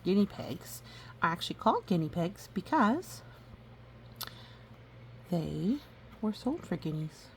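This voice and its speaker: A woman reading a storybook aloud gently and adding educational asides. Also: lowish voice, very animated, takes it slowly, pauses often. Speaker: storybook reader. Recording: faint background noise.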